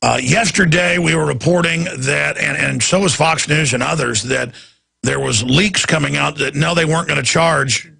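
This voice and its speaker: A hoarse, raspy man hosting a radio talk show, straining his voice as he rants in indignant political commentary from a studio. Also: on the high side, moderate pace, bright, forceful tone, dry recording. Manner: ranting, indignant.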